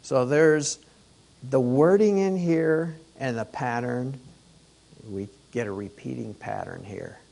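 A hoarse man working through a Torah portion at an unhurried pace. His voice is worn but he keeps it chatty, pausing to restart phrases and posing questions to his listeners. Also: mid-range voice, darkish tone. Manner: conversational, rhetorical questions, many restarts. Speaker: Torah teacher.

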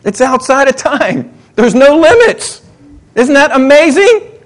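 A middle-aged man preaching a sermon in a conversational way, swinging between excited, emphatic lines and quiet muttered asides. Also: very high-pitched, measured pace. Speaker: pastor.

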